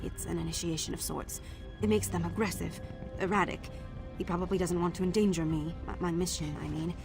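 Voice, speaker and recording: An actress playing a wry, guarded undercover agent in a video game, with a dry, clipped delivery. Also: female voice, lowish voice, fairly even intonation, brisk, gravelly. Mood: awkward, worried. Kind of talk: video game character acting. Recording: prominent music behind the voice.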